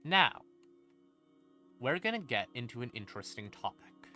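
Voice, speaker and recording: A man explaining game lore on a casual livestream, conversational and playful, with dramatic pauses and asides. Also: mid-range voice, big pitch swings, bright, forceful tone. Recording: ambient music behind the voice. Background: creepy ambient music.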